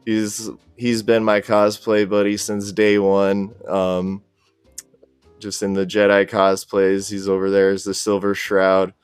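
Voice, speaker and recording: A man's voice: on the low side, completely flat delivery, slow pace, smooth, no room sound, soft background music. A man speaking as a podcast guest in casual, easygoing, appreciative conversation. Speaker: podcast guest, cosplay group organizer. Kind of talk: casual interview chat.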